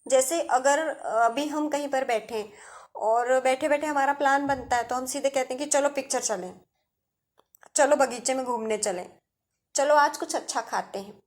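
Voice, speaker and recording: A woman's voice: high voice, moderate pace. A woman with an Indian accent giving a step-by-step grammar lesson as a teacher, addressing her students directly.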